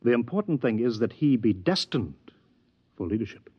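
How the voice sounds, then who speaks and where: An American actor in a 1950s radio drama playing a fussy, unctuous older adviser who wheedles and stammers pompously. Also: male voice, mid-range voice, very animated, moderate pace, breathy edge. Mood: persuasive, pompous. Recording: dull vintage 1950s radio recording.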